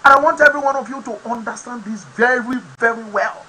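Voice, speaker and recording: A man with a Nigerian accent whose first language is Yoruba hosts an online program, giving impassioned political commentary with sudden loud, emphatic bursts. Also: very high voice, quick pace, slightly rough. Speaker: host of an online talk program.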